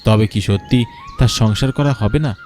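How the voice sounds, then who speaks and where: Low-voiced male storyteller. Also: moderate pace, a little mellow. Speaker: narrator. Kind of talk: scripted storytelling narration. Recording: music behind the voice.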